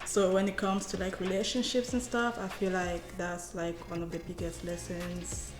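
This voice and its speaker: Soft-spoken young woman, echoey room. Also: fairly low pitch, level tone, moderate pace, over music. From Cameroon. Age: 20s. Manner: soft-spoken, many "like" fillers, hesitant. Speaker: guest. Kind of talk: casual interview chat.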